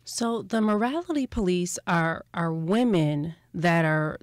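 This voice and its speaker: A woman with a fairly low voice hosts an interview podcast. She reads her introductions clearly and asks direct follow-up questions. The sound is dry and close to the mic. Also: expressive, measured pace, no room sound. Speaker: podcast host. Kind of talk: interview hosting.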